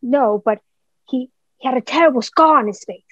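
Young woman doing polite nervous boy, stammery, high pitched, muffled cheap recording, radio play. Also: normal speed, slightly rough. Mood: anxious. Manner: stammering, deferential. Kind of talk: amateur radio play acting. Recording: dull lo-fi remote home recording.

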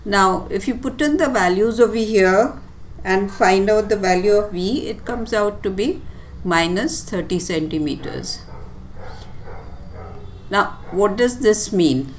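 A woman teacher giving a step-by-step tutorial explanation in a deliberate, instructive way with short, pausing phrases. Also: mid-range voice, animated, a little hiss or hum. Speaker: physics teacher. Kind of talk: step-by-step tutorial explanation over handwritten working.

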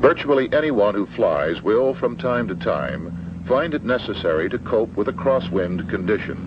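Male instructional narrator, American, low voice, matter-of-fact and measured, 1970s film sound with clear background noise. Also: expressive. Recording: dull, noisy old 16mm film soundtrack.

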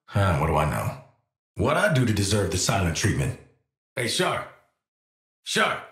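A man's voice: lowish voice, gravelly, fairly bright tone, roomy sound, exaggerated voice. An American man voicing a cocky, world-weary assassin in a video game, with casual, slangy, wry delivery. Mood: cocky banter. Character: cocky, world-weary male assassin, gruff team player. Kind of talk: video game character voice lines.

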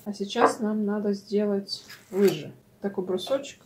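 A woman's voice: medium pitch, steady tone, normal speed. A woman in her thirties hosting a craft vlog from a cluttered home workshop, chatting with viewers in a friendly, casual way. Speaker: woodcarving channel host. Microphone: camera mic at a distance. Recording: roomy, distant, noisy workshop audio.

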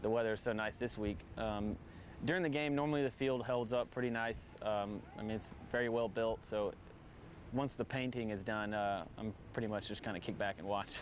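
A man's voice: fairly low pitch, fairly even intonation, normal speed, a little hiss or hum. A man in his thirties, a stadium field manager, answering interview questions casually with many ums and repeated words, recorded outdoors on a lapel mic. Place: outdoors in a large empty stadium.

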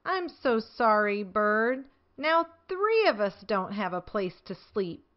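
A woman's voice: medium pitch, big pitch swings, takes it slowly, muffled recording. A woman voicing an eager, worried male rabbit in a storybook, in an exclamatory, pleading way. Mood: apologetic.

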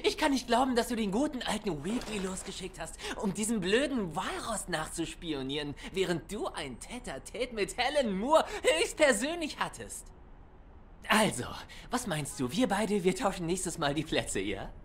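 An excitable weasel sidekick in a noir video game. He is cheeky and gossipy, with a high voice that leaps up and down. There is faint ambience behind. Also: normal speed, slight background noise. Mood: amused, envious. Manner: animated, boisterous, gossipy. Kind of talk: cinematic noir game acting.

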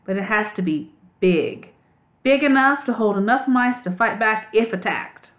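A woman's voice: mid-range voice, animated, moderate pace, close to an everyday voice. A woman voicing an eager young male mouse who talks boldly and pushily in slang. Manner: earnest, slangy, pushy. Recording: tinny phone-like sound.

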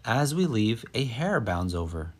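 Animated man reading a children's story. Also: mid-range voice, unhurried, breathy edge. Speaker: storyteller reading a picture book aloud. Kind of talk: expressive children's read-aloud narration.

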